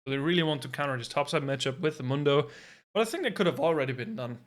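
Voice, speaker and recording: A man calling an esports match live from a home streaming mic, in a voice that sits a little high. He thinks aloud as picks come in and adds self-deprecating asides along the way. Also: fast. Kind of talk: casual live game commentary.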